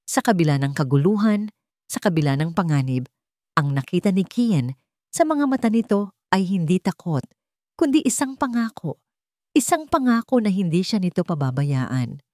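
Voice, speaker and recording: Narrator: woman with a slightly low voice, dramatic storytelling delivery, dry recording. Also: sing-song, moderate pace, mellow, no room sound.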